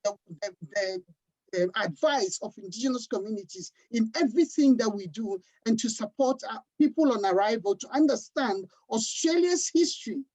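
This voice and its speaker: Earnest woman from Africa, mellow voice. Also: fairly high pitch, animated. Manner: earnest, deliberate, emphatic. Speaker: panelist. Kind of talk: panel discussion talk. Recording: dull lo-fi livestream audio.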